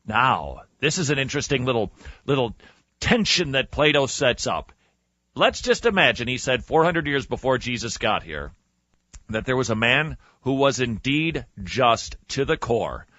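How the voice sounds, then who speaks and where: A man with a forceful, somewhat high voice delivers theatrical storytelling as a radio host, leaning hard on key words and pausing for drama. His pitch swings widely, and the close, dry recording sounds slightly dull. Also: sing-song, no room sound. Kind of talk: animated storytelling with reading and commentary.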